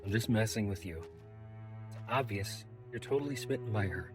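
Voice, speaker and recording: A man playing a brash, wry warrior in an audio drama, with a husky voice and a casual, blunt way of talking. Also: fairly low pitch, moderate pace, darkish tone, faint music behind, lightly acted. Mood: low-key, teasing. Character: brash, wry, tough male adventurer, towering warrior.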